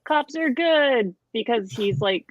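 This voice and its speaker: A woman's voice: fairly high pitch, expressive, takes it slowly. A woman talking in a casual book discussion, with slightly muffled lo-fi sound.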